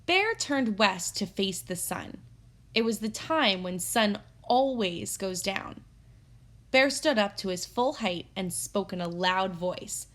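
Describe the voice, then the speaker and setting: A woman teacher reading a story aloud for children, expressive and instructive, pausing to pose guiding questions. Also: mid-range voice. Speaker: elementary teacher.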